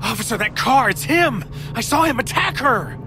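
A man's voice: very high voice, animated, normal speed. An actor playing a desperate male witness who pleads urgently with police in an audio drama. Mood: pleading. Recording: music under the dialogue.

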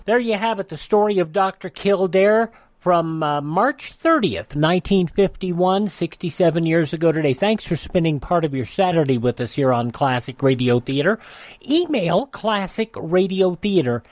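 A man's voice: high-pitched, animated, moderate pace, dry recording. Dry-sounding American male radio host. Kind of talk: conversational radio presenting. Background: faint music.

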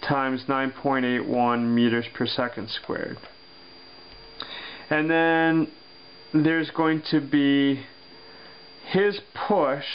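Male physics teacher, deliberate and halting, dull desk recording with a low hum. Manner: slow, thinking aloud with many pauses and fillers. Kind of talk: worked-example lesson over paper. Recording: dull lo-fi sound with faint hum.

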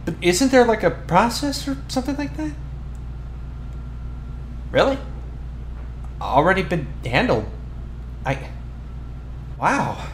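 A man acts out a young male bully who is secretly smitten, in an ASMR boyfriend roleplay. He stammers bashfully at a slow pace, with pitch that swings widely. The room echoes around him. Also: sing-song, exaggerated voice. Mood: stunned, grateful. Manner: halting, stammering, bashful delivery. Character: flustered, tough-talking young male bully with a soft crush. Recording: roomy echo, noisy ambience behind.

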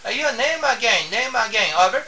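A man with a Japanese accent making a ham radio contact, haltingly repeating phrases and spelling out call signs. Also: extremely high pitch, animated, recorded from a distance, very noisy. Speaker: amateur radio operator. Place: home radio room indoors.

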